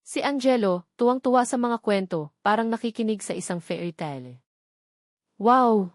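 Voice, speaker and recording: A woman's voice: medium pitch, expressive, a little fast, darkish tone, no echo. Woman narrating a sentimental romance, very dry sound. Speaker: audiobook narrator. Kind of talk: scripted romance story narration.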